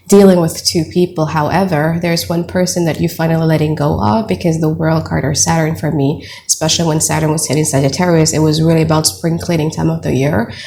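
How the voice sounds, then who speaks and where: A woman's voice: deep voice, creaky, darkish tone, slight background noise. A woman from Indonesia with an Indonesian accent, chatting casually through a tarot reading and talking to her viewers as "you guys" with lots of fillers. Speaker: tarot reader.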